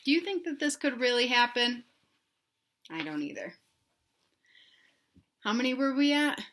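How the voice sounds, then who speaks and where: Casting: woman storyteller for children, bright and a touch high, expressive, talks directly to little listeners in simple words. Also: a little slow, a little hiss or hum. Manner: asks young listeners questions, explains in simple words. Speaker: read-aloud storyteller for children. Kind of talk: picture book read-aloud with asides to children.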